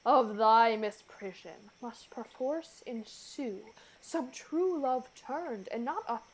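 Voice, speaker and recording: A woman's voice: a little high, takes it slowly, poor audio. A woman playing a commanding, spell-casting king of the fairies in a Shakespearean radio play, speaking verse in a stately, declamatory way. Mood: stern, commanding.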